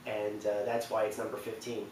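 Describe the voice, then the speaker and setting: A man in his twenties hosting a video, talking casually and a little haltingly to the camera. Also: fairly low pitch, completely flat delivery, a little mellow. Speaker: video host presenting a ranking. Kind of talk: casual unscripted talk to camera. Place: small indoor living room on a couch. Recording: roomy, distant mic, faint noise.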